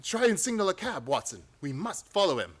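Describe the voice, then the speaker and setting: An actor playing a shrewd, commanding gentleman detective with a precise manner in a radio play. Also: high-pitched, big pitch swings, fairly quick. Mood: urgent, commanding. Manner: precise, decisive, authoritative.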